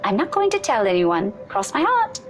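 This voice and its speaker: A woman's voice: a little high, huge pitch swings, normal speed. An actress playing a blunt, sarcastic young woman in a game. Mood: teasing. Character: sharp-tongued young woman, blunt and teasing. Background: faint game music.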